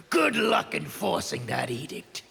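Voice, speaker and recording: An actor playing a brutal, proud outlaw warrior in a video game, with a gravelly, raspy voice and a menacing, taunting manner. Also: male voice, fairly high pitch, very noisy, full character voice. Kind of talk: video game villain acting. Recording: reverberant game arena hall.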